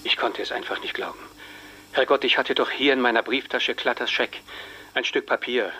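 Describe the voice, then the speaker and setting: Radio drama insurance agent, male actor: incredulous, agitated, exclamatory, forceful mid-range voice. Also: medium pitch, fairly even intonation, moderate pace, a little hiss or hum, lightly acted, low-quality recording. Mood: stunned disbelief.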